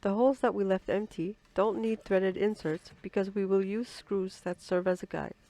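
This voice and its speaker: A woman's voice: mid-range voice, fairly even intonation, normal speed, mellow. A woman who is slightly under the weather with flu, narrating step-by-step build instructions from a script. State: sick with flu, slightly odd voice. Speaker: co-presenter of build tutorial.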